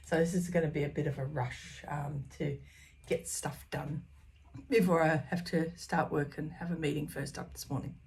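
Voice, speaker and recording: A woman in her fifties chatting casually to camera about her stitching, scattered and full of ums, her voice slightly croaky. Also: low-pitched, fairly even intonation, moderate pace, darkish tone, a little hiss or hum. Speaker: cross stitch vlogger. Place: small room at home.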